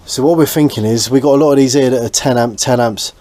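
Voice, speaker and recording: British man vlogging over clear background noise. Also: fast talker, mellow. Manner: informal, thinking aloud as he works. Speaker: host of a boat restoration vlog. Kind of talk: casual hands-on vlog commentary. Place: on a small boat. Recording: noisy ambient sound, engine-like rumble.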